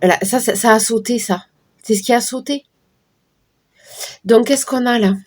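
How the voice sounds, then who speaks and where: A middle-aged woman with a hoarse morning voice, chatting casually to her viewers as she reads tarot cards. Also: fairly high pitch, unhurried, darkish tone. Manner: chatty, talks to viewers while shuffling cards. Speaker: card reader. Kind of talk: casual card-reading livestream talk.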